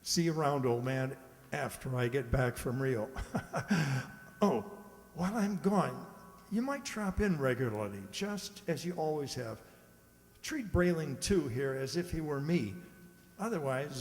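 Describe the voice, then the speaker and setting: Animated, higher-voiced radio-play husband acted by a man, echoey hall. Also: measured pace. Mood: genial. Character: smug married man scheming to escape home. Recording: echoey hall with long reverb.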